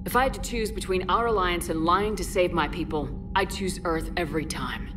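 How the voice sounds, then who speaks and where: Female actor as a clipped, tough starship commander, lowish voice, score behind. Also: a little fast, music in the background, close to an everyday voice. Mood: firm. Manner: firm, clipped military. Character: hard-edged female human commander.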